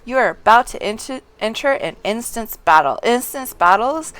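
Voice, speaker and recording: A woman's voice: on the high side, expressive, normal speed, fairly bright tone, smooth. A woman game streamer thinking aloud casually as she plays and reading on-screen text out to viewers. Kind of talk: live playthrough commentary and reading quest text aloud.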